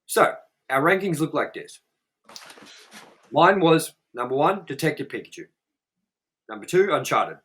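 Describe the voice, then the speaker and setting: Bright-voiced, swearing host. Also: male voice, on the high side, sing-song, measured pace, pauses often. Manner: jokey, loose and swears freely. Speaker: show host. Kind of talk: casual movie review chat.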